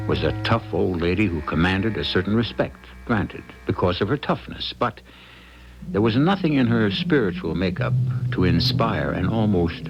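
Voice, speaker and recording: Very deep-voiced American mystery host, muffled broadcast. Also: male voice. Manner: measured, philosophical, wry. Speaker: radio drama host. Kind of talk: scripted mystery narration, theatrical storytelling. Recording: dull old radio broadcast with music.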